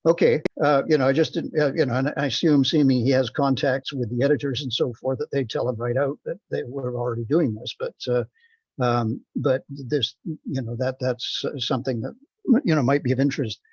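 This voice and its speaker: A man's voice: medium pitch, steady tone, fairly quick, a little mellow, slightly rough, dry recording. A man giving a hesitant, rambling report on a conference call. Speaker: meeting participant giving a report. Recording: dull, dry teleconference audio.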